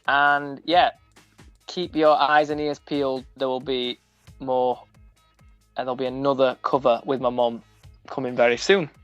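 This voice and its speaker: Young guy, thick sheffield accent, lots of restarts and you-knows, muffled dry sound, music quietly underneath. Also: medium pitch, little pitch movement, a little slow, long pauses, clean tone, no echo. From Sheffield, England. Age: young adult. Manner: frank, many restarts and fillers. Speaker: musician guest. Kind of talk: casual radio interview.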